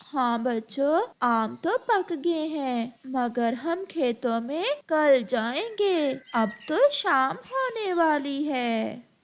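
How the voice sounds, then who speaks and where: Woman as a high-voiced, gentle grandmother bird. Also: sing-song, drawn-out words, heavily acted. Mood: kindly. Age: older. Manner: gentle, motherly. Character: caring grandmother bird, gently instructing children. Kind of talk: animated children's moral story dialogue.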